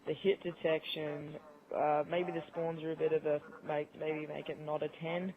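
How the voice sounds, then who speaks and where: A man's voice: on the high side, little pitch movement, moderate pace, phone-quality sound, a crowd in the background. A man in a casual gaming chat over an online voice call, with game audio behind him. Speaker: podcast guest. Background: video game audio.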